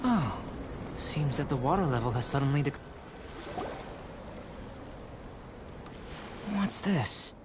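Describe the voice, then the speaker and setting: A man voicing a calm, curious young alchemist in a video game, speaking in a measured, formal and thoughtful way. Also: medium pitch, background noise, natural voice. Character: calm, curious, scholarly young male alchemist, softly polite. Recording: loud game music under the voice.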